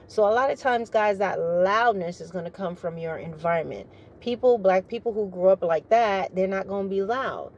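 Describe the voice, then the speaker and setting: A middle-aged woman, a retired soldier, with a naturally deep, rich voice, speaking in a measured, formal way, as if giving a briefing. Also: a little mellow, muffled recording, a little hiss or hum. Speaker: retired military vlogger. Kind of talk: opinionated monologue to camera.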